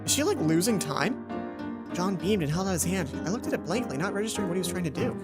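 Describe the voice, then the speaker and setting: A woman's voice: fairly low pitch, lively intonation, fast talker. A woman reading a visual novel story aloud as a let's play host, with music playing behind her.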